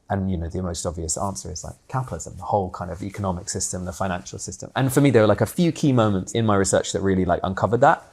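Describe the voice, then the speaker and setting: Low gravelly man, candid and chatty. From Hong Kong. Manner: candid and animated, frequent fillers like "like" and "you know", mild swearing. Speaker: guest, co-op founder. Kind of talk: reflective conversational interview.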